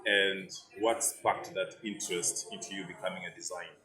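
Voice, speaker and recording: A man hosting a podcast, joking and lively, with many fillers. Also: deep voice, moderate pace. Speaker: podcast host. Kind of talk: casual interview chat. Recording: echoey room with music playing.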